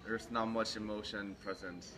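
A twenty-year-old man from Korea with a lowish voice answers plainly and briefly. Chatter from a crowd carries behind him in the lounge. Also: fairly even intonation, moderate pace. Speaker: interviewee. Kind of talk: short interview answers.

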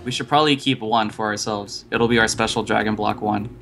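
Male gamer, rapid and bright delivery, slangy and playfully dramatic roleplay banter over music. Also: on the low side, steady tone, vocal fry. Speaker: gamer co-playing in a roleplay video series. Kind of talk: casual Minecraft roleplay banter.

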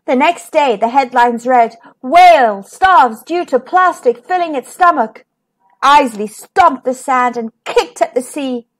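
Woman, high voice, slow pace, picture-book narration, expressive, emphasized key words. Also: poor audio. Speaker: storyteller, host of a children's read-aloud show. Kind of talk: children's picture-book read-aloud.

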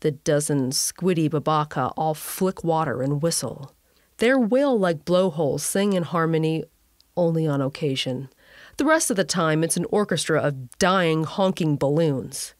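A woman's voice: sits low, no echo, clean studio sound. A woman reading a scripted sci-fi story as narrator, with wry, conversational asides. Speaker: narrator and writer of a sci-fi audio series.

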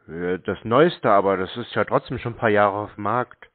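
A man's voice: on the low side, normal speed. A man in a phone conversation, answering curtly in a contrarian, provocative way. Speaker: prank caller baiting phone scammers. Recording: thin tinny phone line.

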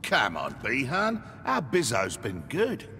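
An actor playing a cocky, sleazy Australian mercenary crime boss in a video game, taunting in thick slang over music. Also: male voice, medium pitch, wildly swooping pitch, takes it slowly, very noisy, full character voice. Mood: smug. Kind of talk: video game character dialogue.

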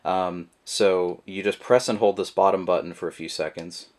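A man's voice: sits low, normal speed. A man giving a casual, rambling how-to demonstration of a product, full of fillers, his voice picked up by the camera mic at a table indoors. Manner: relaxed, rambling with many fillers. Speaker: product reviewer.

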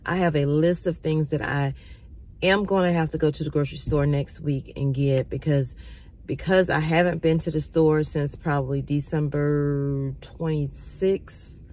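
Slightly raspy woman chatting in her car. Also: low voice, fairly even intonation, measured pace, warm tone. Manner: chatty, addresses viewers as y'all. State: recovering, occasional cough. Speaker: vlogger. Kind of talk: casual chat to viewers. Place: parked car. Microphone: phone camera at arm's length.

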